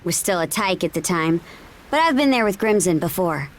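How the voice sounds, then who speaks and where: A woman's voice: on the low side, expressive, normal speed, lightly acted. A woman playing a confident, practical young seafarer in a video game cutscene, speaking casually and matter-of-factly.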